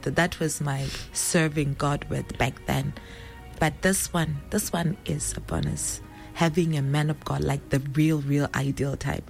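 A woman with a Setswana accent and a naturally loud voice, a pastor's wife telling her story in a casual interview and mixing Setswana words into her English. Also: low voice, creaky.

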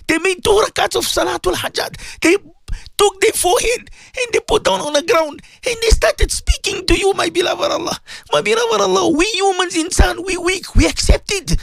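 Very high-pitched South African man, pleading preacher. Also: vocal fry, a bit bright, no echo. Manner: pleading, emotional, repetitive, often rising to a cry. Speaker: preacher leading a supplication. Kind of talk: impassioned religious supplication sermon.

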